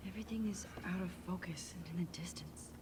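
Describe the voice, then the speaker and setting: An actress playing a shy, earnest teenage student in a video game, speaking softly and introspectively, often close to a whisper. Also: female voice, lowish voice, fast talker, background noise, close to an everyday voice. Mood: anxious, dreamy. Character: shy, earnest teenage girl photography student, self-doubting.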